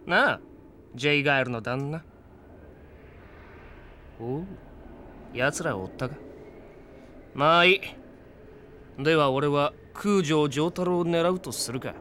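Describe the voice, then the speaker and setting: An actor playing a cocky, greedy hired gunman in an audio drama, swaggering and rough-spoken. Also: fairly high pitch, takes it slowly, pauses often, pushed and bright, a little hiss or hum. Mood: calculating. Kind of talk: Japanese audio drama acting.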